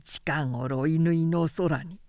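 Storybook centenarian peasant, played by a woman: male, deferential, humble, slow measured telling. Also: sits low, steady tone, warm tone, dry recording, clean studio sound, voice pushed down, heavily acted. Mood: humble reverence. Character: humble, respectful centenarian male villager telling old tales. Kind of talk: audiobook character reading.

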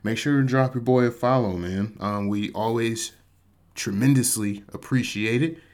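A man hosting his own podcast in a relaxed, chatty way, his voice still a little off after being sick, often tossing in "man" and poking fun at himself. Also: mid-range voice, moderate pace. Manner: laid-back, chatty, says "man" a lot, self-mocking. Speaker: podcast host. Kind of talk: casual solo podcast talk.

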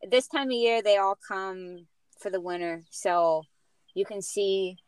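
A woman's voice: medium pitch, steady tone, measured pace, smooth, no echo, rough recording. A young woman from Maui, a songwriter guest, chatting in an easygoing way. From Maui, Hawaii. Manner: easygoing, laughs often. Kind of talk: casual interview chat.

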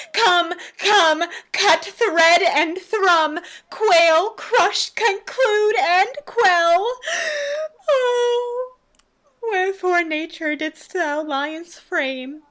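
Woman playing an overwrought, high-pitched lovelorn stage knight. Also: fairly bright tone, heavily acted. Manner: overwrought, declamatory, drawn-out mock-tragic delivery. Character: melodramatic amateur actor playing lovelorn knight Pyramus. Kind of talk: Shakespearean radio play acting.

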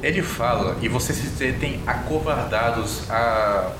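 A man's voice: mid-range voice, measured pace, noisy background. A man narrating a tabletop role-playing game as the game master. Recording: roomy echo, music underneath.

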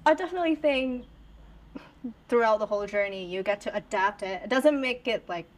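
A young adult woman from Hong Kong, a podcast guest who talks in a lively, expressive way and jumps in eagerly. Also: a little high, fairly quick. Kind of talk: casual interview chat.

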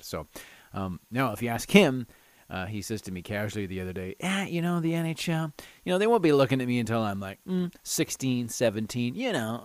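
A man's voice: medium pitch, dry recording. Chatty middle-aged man, close dry sound. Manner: animated, self-amused, chatty, laughs through stories. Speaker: podcast host. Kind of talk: casual solo storytelling and ranting.

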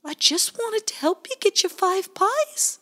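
A woman's voice: very high voice, expressive, measured pace, pushed and bright, pitched above the performer's own voice, heavily acted. A woman voicing a timid little male sidekick who sounds anxious, apologetic and close to tears.

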